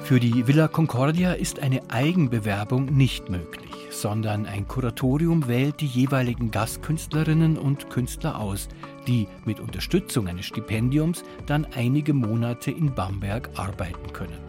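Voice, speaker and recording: A man's voice: mid-range voice, expressive, normal speed, over music. A man presenting and interviewing for radio in scripted narration and questions.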